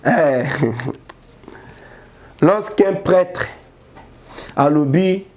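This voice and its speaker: A man's voice: on the high side, a little mellow. A man gives live news commentary in a mocking way and laughs at his own remarks.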